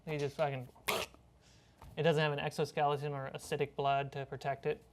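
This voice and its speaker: Low-voiced woman chatting as game master. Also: flat delivery, brisk, noisy background. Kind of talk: casual post-game discussion.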